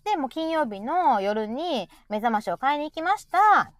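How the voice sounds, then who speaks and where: A woman with a Kansai accent co-hosting a live audio show, chatting casually and telling stories in a chatty, animated way. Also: high-pitched, moderate pace, no echo. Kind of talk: casual chat.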